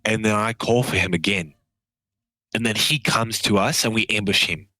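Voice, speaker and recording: A man playing a tabletop game, eagerly pitching schemes to his party. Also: normal speed, sharp tone, dry recording, poor audio. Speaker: player. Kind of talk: casual tabletop play.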